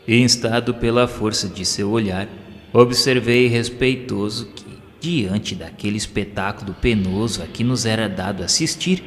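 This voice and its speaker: A man with a Brazilian accent narrates a scripted audio novel in a solemn, formal literary manner. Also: fairly low pitch. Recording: long reverb over music. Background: music.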